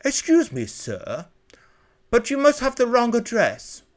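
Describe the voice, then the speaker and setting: A man's voice: very high voice, wildly swooping pitch, measured pace, voice pushed up, exaggerated voice. A man voicing a prim, unflappable butler with an upper-class English accent, formal and haughty, in a story reading. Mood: prim, disdainful.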